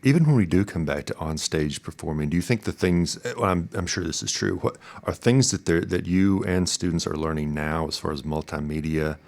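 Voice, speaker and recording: A man's voice: low voice, brisk, few pauses, a little mellow. A man hosting a casual interview, asking questions in loose, rambling phrases that he rewords as he goes. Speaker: podcast host, interviewer.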